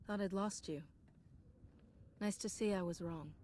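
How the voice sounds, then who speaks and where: A woman playing a calm, resolute Jedi heroine in a video game, composed and firm in manner. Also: medium pitch, a little slow, natural voice. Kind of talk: video game dialogue acting. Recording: background noise and game ambience.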